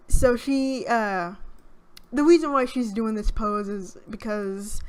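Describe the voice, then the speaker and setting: A young woman talks slowly and softly at a home microphone, her voice set a little high. She sounds shy and hesitant, rambling through a casual game commentary with many pauses. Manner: soft-spoken and shy. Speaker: gaming YouTuber. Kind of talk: casual let's play commentary.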